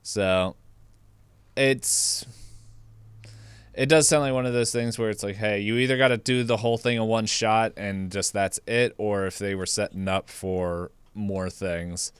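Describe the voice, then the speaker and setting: A man's voice: on the low side, fairly even intonation, unhurried, clear, resonant tone, dry recording. A man co-hosting a podcast, discussing films casually and correcting himself as he goes. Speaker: podcast co-host.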